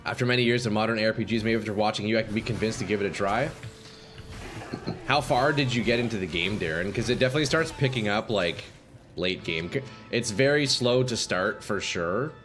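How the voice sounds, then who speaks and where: A man streaming a game live, chatting casually with viewers, swearing and reacting loudly, his voice going a little hoarse. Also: lowish voice, brisk. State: slightly hoarse, losing voice. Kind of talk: casual live-stream chat while playing. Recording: faint game music behind.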